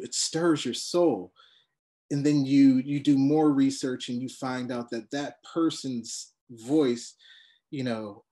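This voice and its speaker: A man speaking as a panelist in a reflective discussion, halting and searching for words with many restarts. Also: mid-range voice, dark tone.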